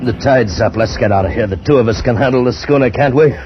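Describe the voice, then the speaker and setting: An actor in old time radio drama playing a haunted adventurer, narrating and speaking with tense urgency on an old recording. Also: male voice, mid-range voice, quick pace, lightly acted. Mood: weary, pleading. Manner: tense, hushed narration breaking into desperate cries. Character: Johnny, haunted male adventurer narrating a desert ordeal. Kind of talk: 1950s old time radio drama acting, first-person narration and dialogue. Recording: muffled old radio recording with music underneath.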